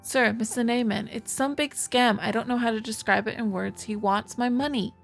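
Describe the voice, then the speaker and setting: A woman's voice: a little high, steady tone, normal speed, gravelly, faint music behind. A woman voicing a distressed, insistent young wife in a visual novel, speaking earnestly. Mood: insistent, troubled. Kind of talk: visual novel dialogue read aloud.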